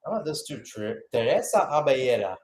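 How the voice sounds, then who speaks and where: A man's voice: medium pitch, sing-song, a little fast, a little mellow. A man giving live radio-style football play-by-play, mixing calls of the action with conversational, musing analysis. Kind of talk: live radio sports commentary.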